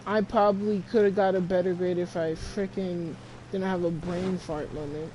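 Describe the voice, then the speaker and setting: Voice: medium pitch, flat delivery, normal speed. Casual female gamer over background noise. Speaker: streamer. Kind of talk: casual gameplay chat.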